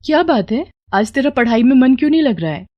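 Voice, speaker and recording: A woman's voice: high voice, very animated, quick pace, lo-fi sound, voice pushed up. A woman voicing a poor, worried, caring mother in a moral story, earnest and homely as she pleads and scolds. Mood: surprised.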